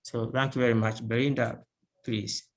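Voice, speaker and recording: A man moderating an online panel who speaks haltingly, repeating phrases as he searches for words before handing over to the next speaker. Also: mid-range voice, level tone. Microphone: video call.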